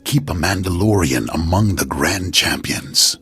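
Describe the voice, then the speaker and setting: Old clan leader, very husky, deep, hearty and ceremonial, game cutscene, music behind. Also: male voice, expressive, unhurried, a bit bright, heavily acted. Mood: solemn pride. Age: older. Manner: commanding, declamatory. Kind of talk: video game cutscene acting.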